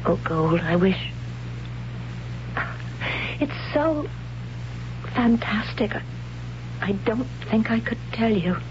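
Slow, pensive young warrior woman. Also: medium pitch, lively intonation. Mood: wistful, hesitant. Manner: hesitant, pensive, drawn-out. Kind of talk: old-time radio drama acting. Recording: muffled, noisy old radio broadcast with hum.

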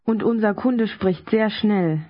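A woman speaking scripted model sentences for a language course in a measured, even way. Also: mid-range voice. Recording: dull lo-fi tape audio.

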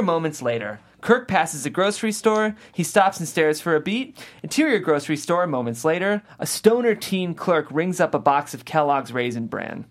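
A woman hosting and reading aloud, as at a script table read. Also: sits low. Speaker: host and script reader. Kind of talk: reading screenplay action aloud, then interviewing the writer.

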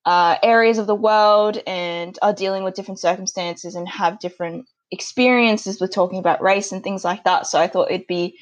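A woman's voice: medium pitch, steady tone, normal speed, hardly any pauses. A young Australian woman hosting a podcast in an upbeat, giggly, chatty manner. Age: young adult. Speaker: podcast host. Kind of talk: casual podcast chat. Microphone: remote call audio.

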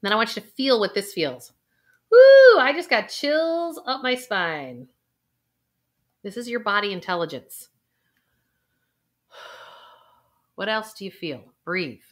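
Woman, intuition coach, talks viewers through breathing steps, calm and unhurried, mid-pitched, recorded for video. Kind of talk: guided exercise for viewers.

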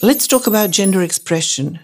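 A woman's voice: medium pitch, expressive, normal speed, darkish tone, faint music behind. A non-binary co-host with a woman's voice and a Greek accent, reading a scripted educational dialogue in an explanatory, emphatic way. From Greece. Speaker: podcast co-host.

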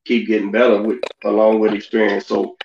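A man co-hosting a podcast, asking rambling questions with many restarts and pauses. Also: on the low side, monotone, gravelly, a little mellow. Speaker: podcast co-host. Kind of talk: casual interview questions. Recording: roomy, dull, slight hiss.

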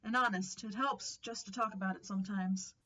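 A woman's voice: mid-range voice, steady tone, brisk, bright, forceful tone, highs cut off. A woman playing a caring, motherly telephone operator in an old-time radio drama, coaxing in friendly slang.

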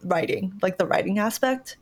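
A woman's voice: medium pitch, normal speed. Reflective young woman, casual chat. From Malaysia. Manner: relaxed, reflective, frequent fillers. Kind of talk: casual conversation.

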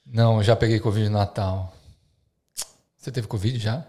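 A man's voice: lowish voice, fairly even intonation, moderate pace, dark tone. A man from Brazil hosting a podcast, asking curious questions and finishing his guest's sentences. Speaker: podcast host. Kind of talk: casual interview chat.

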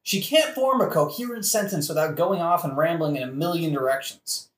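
An actor playing a deferential, flattering royal attendant who explains things earnestly in an audio play. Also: mid-range voice, brisk, close to an everyday voice. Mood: tactful urgency. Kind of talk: satirical modern audio play acting. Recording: echoey room.

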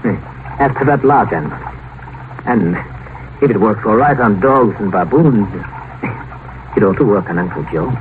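A brash and cynical doctor acted by a man in a 1950s radio drama. His talk is breezy and bossy, with widely swinging, animated intonation. The old broadcast is thin and tinny, with clear background noise. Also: lowish voice, moderate pace, long pauses, natural voice. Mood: eager, confident. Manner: breezy, sardonic, domineering. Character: brash, cynical male doctor and longevity researcher.

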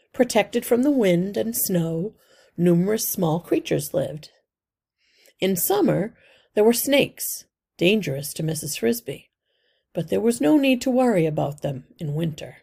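A woman reading a children's novel aloud as scripted narration, in a careful, expressive storytelling manner. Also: mid-range voice, creaky, breathy edge.

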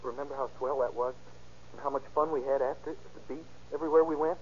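An American actor playing a bitter, desperate jilted lover in a 1930s radio drama, pleading and intense. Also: male voice, mid-range voice, steady tone, fast, muffled recording, natural voice. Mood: pleading, wounded. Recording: scratchy, noisy 1930s radio recording.

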